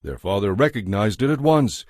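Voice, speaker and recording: A man's voice: on the low side, expressive, normal speed, darkish tone, no echo, clean studio sound. A man giving a scripted narration as the narrator of a dramatized audio Bible.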